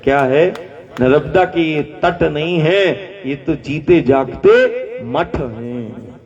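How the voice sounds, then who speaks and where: Male preacher, slow and rhetorical, repeats key lines and prompts the crowd; distant, dull live recording with long reverb. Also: fairly high pitch, expressive, a little mellow. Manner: rhetorical, repeating phrases, asking the crowd to repeat after him. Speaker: spiritual teacher. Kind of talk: devotional discourse to a gathering.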